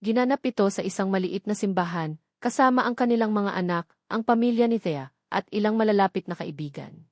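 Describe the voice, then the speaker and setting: A woman narrates a romance story from a script. Her voice sits at an average pitch for a woman. The sound is very dry, with no echo around the words. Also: fairly quick, darkish tone.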